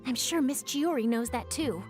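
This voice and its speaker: A woman voicing a calm, composed young woman at a boutique in a video game. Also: high voice, moderate pace, soft background music.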